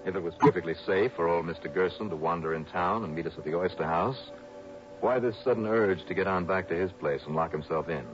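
Guy playing wry radio detective, lowish voice, dry and skeptical, muffled old recording. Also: fast talker, over music, natural voice. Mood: wry, narrating. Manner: dry, matter-of-fact, wry. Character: unflappable, skeptical, wry male insurance investigator. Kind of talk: 1960s radio drama acting and narration. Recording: dull vintage radio recording.

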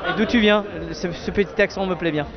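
A man's voice: sits high, brisk, dull audio, a loud crowd. A man hosting a podcast, casually and jokily interviewing guests at an outdoor wine festival. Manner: jokey, familiar, swears casually.

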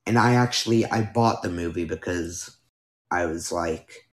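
Young male podcaster with a lowish voice, slow delivery, strained from losing his voice, chatty and self-correcting. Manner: rambling, self-correcting, with filler words. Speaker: podcast host. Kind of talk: casual solo podcast chat. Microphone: new microphone being tested.

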